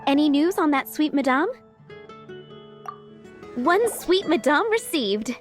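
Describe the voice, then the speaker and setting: Game restaurant worker: young, overworked, sincere, high voice. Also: female voice, expressive, takes it slowly, faint music behind. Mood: pleased. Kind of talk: video game acting.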